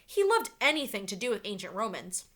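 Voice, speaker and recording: A woman reading a children's story aloud like a teacher, with dramatic, expressive storytelling. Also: medium pitch, fairly quick.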